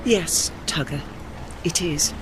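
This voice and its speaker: A woman's voice: sits low, takes it slowly, over music, low-quality recording. A woman playing a proud, worn old female warship with formal, dignified speech in an audio drama. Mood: wistful, dignified.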